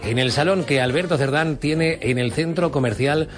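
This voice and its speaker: A man's voice: fairly high pitch, moderate pace, over music. A man with a Spanish accent from Spain, presenting a live promotional interview on the radio.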